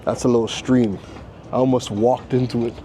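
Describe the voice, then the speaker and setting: A man from Trinidad hosting a casual vlog, chatty and excitable, laughing often as he talks at a rooftop bar. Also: mid-range voice, normal speed, soft background music. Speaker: vlogger host. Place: busy rooftop bar and restaurant in a city.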